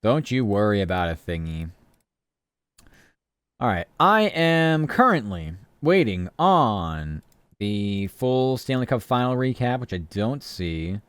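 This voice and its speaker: Low, smooth-voiced male streamer rambling. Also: sing-song, slow, no room sound. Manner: rambling, chatty, answers chat, swears casually. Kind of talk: casual live-stream chat.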